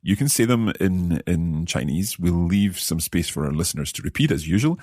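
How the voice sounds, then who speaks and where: A man's voice: deep voice, big pitch swings, moderate pace, a little mellow, breathy edge, no room sound, studio quality. A man with a Scottish accent hosting a language lesson podcast as the learner, presenting in a conversational, explanatory way. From Scotland.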